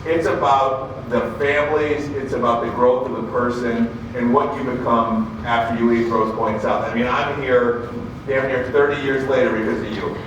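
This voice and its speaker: A loud-voiced man giving an earnest tribute speech to his former coach at a banquet. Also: mid-range voice, little pitch movement, normal speed. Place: banquet room. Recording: echoey room, distant mic, noise.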